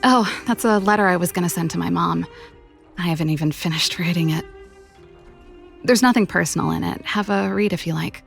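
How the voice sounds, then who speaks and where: A woman voicing a playful, teasing young big-sister inventor and musician in a video game, casual and expressive. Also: on the low side, a little fast, gravelly, soft background music. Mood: casual, reflective.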